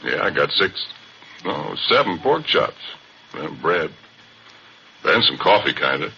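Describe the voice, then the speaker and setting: An actor playing a middle-aged former oil roughneck who tells his story in a folksy, chatty monologue, in 1940s radio acting style on a muffled broadcast with hiss. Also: low-pitched, big pitch swings, takes it slowly, pushed and bright, exaggerated voice. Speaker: narrator.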